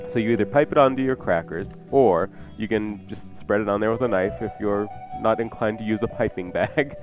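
A man's voice: fairly low pitch, brisk. An American man hosting a radio cooking show, chatting casually and explaining things in an informal, bantering way. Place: radio studio. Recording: radio broadcast with music behind. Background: music.

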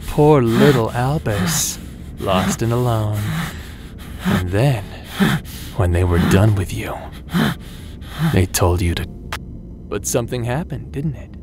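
A man acting as a taunting, manipulative villain in a dramatic audio roleplay, with a drawn-out, mocking delivery. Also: medium pitch. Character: taunting male villain, manipulative, mocking, coldly friendly tempter.

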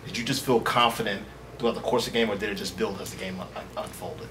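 A male reporter asks long, winding questions quickly in a lowish voice. He is picked up from a distance, with clear background noise. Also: fairly even intonation. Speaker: sports reporter. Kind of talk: press conference questions.

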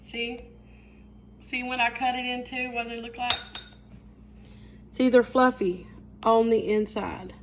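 A woman's voice: a little high, fairly even intonation, slow pace, pauses often, a little hiss or hum. A middle-aged woman from the mountains of Southeast Kentucky with an Appalachian accent, chatting folksily while hosting a live cooking stream in her home kitchen. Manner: folksy, chatty, says y'all. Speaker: cooking show host.

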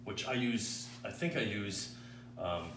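A man's voice: on the low side, slow, noisy background. A middle-aged man as a guest in a cooking show, asking eager questions while he chops vegetables in a large home kitchen. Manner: curious, asks many questions, eager. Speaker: guest cook. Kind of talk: casual cooking demonstration. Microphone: far camera mic. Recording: echoey kitchen from far off, clattering dishes.

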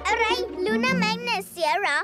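A woman's voice: very high voice, lively intonation, moderate pace. A woman voicing a bossy, petulant young villain girl who scolds and commands in a children's cartoon. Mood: indignant. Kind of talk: children's cartoon dub acting. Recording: music under the dialogue.

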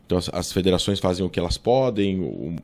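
A low-voiced Brazilian man from São Paulo, in his thirties, talking as a podcast guest. He rattles through his story fast, full of fillers and self-corrections. He speaks close to a studio mic in a small room. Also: animated, darkish tone. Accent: Brazilian Portuguese, São Paulo. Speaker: guest, political scientist. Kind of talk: casual interview, storytelling. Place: small studio with table and monitor. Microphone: boom-arm studio mic.

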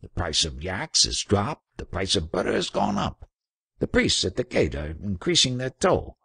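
A man's voice: low-pitched, sing-song, normal speed, vocal fry, pushed and bright, slightly rough, no room sound, full character voice. A man voicing a huge, boisterous and superstitious trader in a storybook, with a bellowing, effusive manner.

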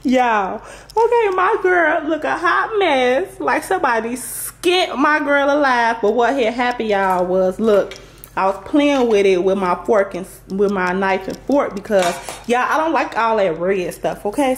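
A woman's voice: fairly high pitch, lively intonation, normal speed. A woman in her thirties hosting a casual cooking vlog in her home kitchen, chatty and playful, talking to viewers as y'all. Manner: playful, chatty, sing-song exclamations, addresses viewers as y'all. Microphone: far camera on tripod. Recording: roomy kitchen audio from a distant camera.

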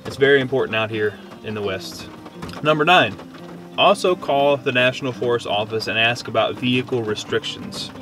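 A man's voice: medium pitch, moderate pace, a bit bright, soft background music. A man in his thirties with an American accent, talking casually to camera as he gives camping advice outdoors. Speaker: camping vlogger. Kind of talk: casual instructional talk to camera. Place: outdoors at a forest campsite under a shelter. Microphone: on-camera shotgun mic at short distance.

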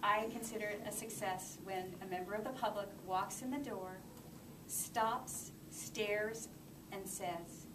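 A woman's voice: medium pitch, steady tone, a little slow. A middle-aged woman giving an informal public speech as a library manager, showing listeners around. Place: library community room, indoors. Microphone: far camera microphone. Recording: distant camera, echoey room, clear noise.

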